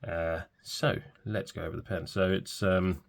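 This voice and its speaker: A man in his forties talks through a fountain pen review at an easy, halting pace, pausing often on fillers. His voice sits very low with a rough, gravelly edge. He sounds a little bunged up from a cold. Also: a little hiss or hum. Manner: relaxed, hesitant, many fillers. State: stuffy from a cold. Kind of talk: casual product review.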